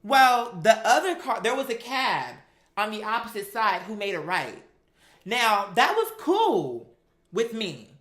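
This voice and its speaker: Female storyteller, mid-pitched and bright, sassy and profane, emphatic delivery, echoey live room. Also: very animated, unhurried. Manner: sassy, emphatic, acts out quoted dialogue; profane, slangy. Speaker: storyteller recounting a personal experience. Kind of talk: animated personal storytelling.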